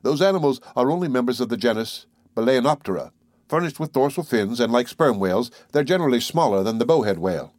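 A man playing a patient, gently amused professor of natural history in an audiobook, measured and didactic. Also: mid-range voice, expressive, no room sound, studio quality, natural voice. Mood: measured, correcting. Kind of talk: audiobook character voice.

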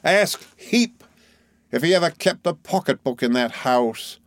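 A man voicing a pompous, florid middle-aged gentleman in an audiobook, declaiming in long formal phrases with relish. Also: on the high side, lively intonation, unhurried, no echo, voice pushed up. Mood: triumphant challenge.

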